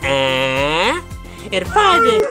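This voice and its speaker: A woman's voice: low voice, huge pitch swings, very slow, pushed and bright, faint music behind, voice pushed down, exaggerated voice. A woman voicing a naive, cocky young man in an amateur comic dub, with a melodramatic manner. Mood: self-satisfied. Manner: melodramatic, self-satisfied.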